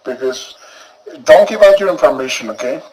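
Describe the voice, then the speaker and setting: A man's voice: a little high, lively intonation, moderate pace, a little mellow, distant mic, poor audio. Cautionary man, off the mic. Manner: cautionary, repetitive. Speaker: software reviewer. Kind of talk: casual review, warning viewers.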